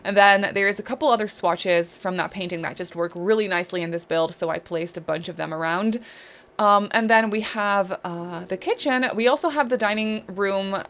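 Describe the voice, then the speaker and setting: A young Dutch woman with a mid-pitched voice talks in a loose, rambling way, full of "like" and "um". It's a relaxed voice-over for a game build video, friendly and unscripted. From Netherlands. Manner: chatty, rambling, many "like" and "um" fillers. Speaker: Sims builder and YouTuber. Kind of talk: casual voice-over commentary on a speed build.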